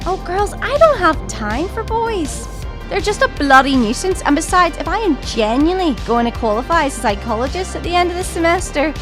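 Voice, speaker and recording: A young woman playing a cheeky, sarcastic student in an audio drama, bantering casually. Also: high voice. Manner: teasing, sarcastic. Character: stylish young Irish student, cheeky and dismissive of boys. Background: music.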